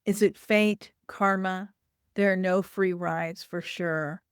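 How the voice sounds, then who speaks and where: A woman reading a first-person literary short story aloud in a measured, deliberate way. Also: on the low side, steady tone, clear, resonant tone, no echo, studio quality.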